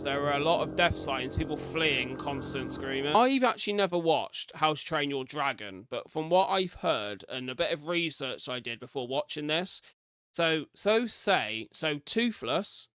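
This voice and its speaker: A British man doing informal live reaction commentary as a streamer, reading captions aloud and adding chatty asides. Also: on the high side. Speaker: streamer reacting to horror videos.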